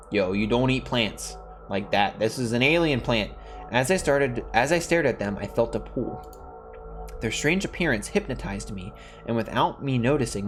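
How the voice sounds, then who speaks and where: A man hosting a gaming video, reading a visual novel's narration aloud and dropping in casual asides. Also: on the low side. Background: faint music.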